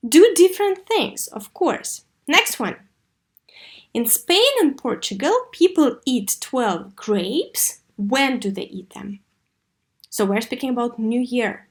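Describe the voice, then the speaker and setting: High-voiced woman teaching children English. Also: expressive. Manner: didactic, asks questions and answers them herself; slow, deliberate enunciation of key words. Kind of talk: scripted online lesson addressed to young learners.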